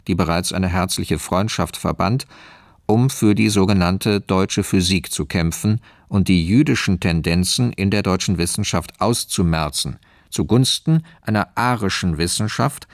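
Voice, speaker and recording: A man reading scripted historical narration for a radio play in a measured, factual manner. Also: sits low, vocal fry, no room sound, studio quality.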